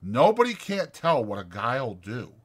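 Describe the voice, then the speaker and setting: A man's voice: mid-range voice, measured pace, slightly rough, voice pushed up, full character voice. A man voicing a lonely, bitter, needling stable hand in a dramatized audiobook, with folksy, insistent, taunting talk. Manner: insistent, taunting, folksy, drawn into excited speeches.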